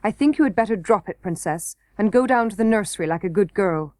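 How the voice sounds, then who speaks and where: A woman's voice: mid-range voice, expressive, fairly quick, darkish tone, no echo, natural voice. A woman voicing a blunt, vexed young miner boy who speaks curtly and rudely. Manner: curt and rude.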